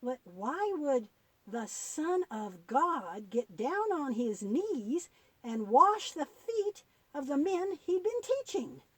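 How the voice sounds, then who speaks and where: An eager young boy puppet, voiced by a woman, speaks high and very animatedly. He is curious and easily amazed, questioning everything in a children's puppet show, with a dry, close sound. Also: big pitch swings, takes it slowly, dry recording, heavily acted. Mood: curious amazement. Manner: wide-eyed, questioning, exclamatory.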